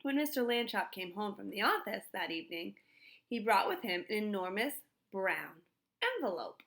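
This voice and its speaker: A woman reading a children's storybook aloud in an expressive style. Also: mid-range voice, normal speed, creaky. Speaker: storybook reader.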